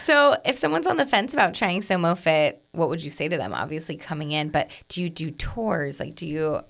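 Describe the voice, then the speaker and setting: A woman's voice: low-pitched, animated, a little fast, creaky, a bit bright. A woman hosting a podcast interview, encouraging her guest with frequent affirmations and laughter. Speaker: podcast host. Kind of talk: casual interview chat.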